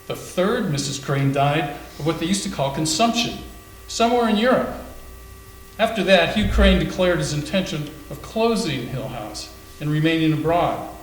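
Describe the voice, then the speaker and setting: Male reader as somber storyteller, higher-pitched voice, measured readers theater delivery, roomy sound with faint hum. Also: expressive, lightly acted. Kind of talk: staged readers theater narration. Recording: echoey room with faint hum.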